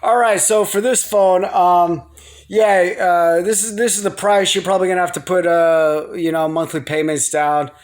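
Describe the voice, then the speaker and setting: A man's voice: high-pitched, fairly even intonation, normal speed. A man rambling casually to his viewers in a folksy, slangy, playful way, as in a personal home vlog. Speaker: video blogger. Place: living room at home. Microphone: phone.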